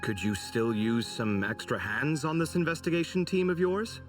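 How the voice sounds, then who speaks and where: A man voicing an expressive, exasperated but good-hearted scholar in a video game, with a theatrical, sarcastic, emphatic delivery. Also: mid-range voice, moderate pace, distant mic, soft background music, natural voice. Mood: friendly, eager. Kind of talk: scripted video game voice acting.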